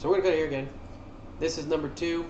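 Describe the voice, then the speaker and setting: A man's voice: a little high, steady tone, rapid-fire, dull audio, background noise. A man giving casual, informal commentary on game cutscenes as an enthusiastic fan presenting his favorites. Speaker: video creator presenting a favorites list. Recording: roomy echo with music behind. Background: game cutscene music.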